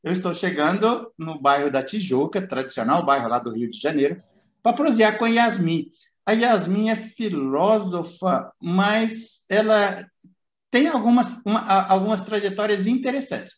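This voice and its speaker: A man's voice: sits high, lively intonation, moderate pace. A man hosting a podcast interview, chatty and meandering in a casual way. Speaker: podcast host. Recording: roomy, dull lo-fi sound.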